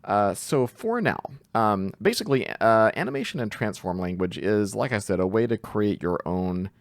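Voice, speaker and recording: A man giving an informal screen-share software tutorial, thinking aloud hesitantly as he goes. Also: lowish voice, expressive, normal speed, vocal fry, dry recording. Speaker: tutorial host, coding instructor.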